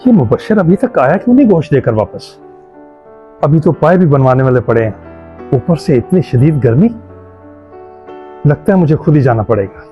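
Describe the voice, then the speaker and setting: A man's voice: a little high, very animated, long pauses, muffled recording, faint music behind. A man playing a strict, principled middle-aged father in a moral-story drama, speaking in an emphatic, lecturing way. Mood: impatient. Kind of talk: Urdu moral-story audio drama acting.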